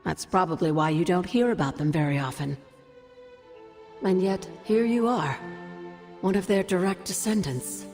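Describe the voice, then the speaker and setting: A knowing older sorceress mentor from a video game, speaking in measured, fondly wry tones. Her voice rings in a long hall reverb over faint music. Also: on the low side, lively intonation, a little mellow. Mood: solemn, knowing. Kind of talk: game cutscene dialogue, expository storytelling.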